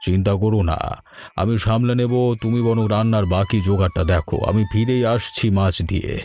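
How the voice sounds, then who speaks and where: A man's voice: lowish voice, fairly even intonation, normal speed, a little mellow, natural voice. A man playing a devoted, good-natured older village father in a cartoon story, plain-spoken and obliging. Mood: agreeable. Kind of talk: animated family story dialogue.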